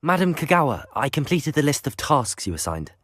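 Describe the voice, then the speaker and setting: A polite young student wizard in a fantasy game, eager and extremely varied in pitch. Faint noise sits behind the voice. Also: male voice, very animated, a little fast, fairly bright tone. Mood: polite, dutiful. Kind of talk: video game character dialogue.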